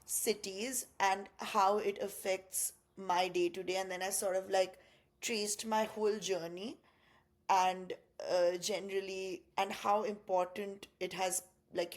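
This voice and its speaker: A young woman from India co-hosting a podcast, talking in a reflective, rambling way with many fillers. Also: mid-range voice. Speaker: podcast co-host. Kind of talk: casual chat.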